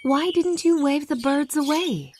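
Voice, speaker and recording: Woman playing a high-voiced devoted storybook wife. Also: unhurried, darkish tone. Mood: exasperated.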